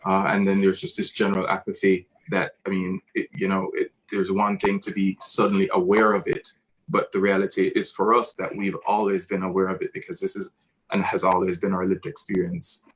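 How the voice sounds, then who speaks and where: Jamaican guy talking about his theatre piece, low voice, pretty flat, halting and earnest. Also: poor audio. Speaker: theatre director. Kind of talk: reflective artist talk.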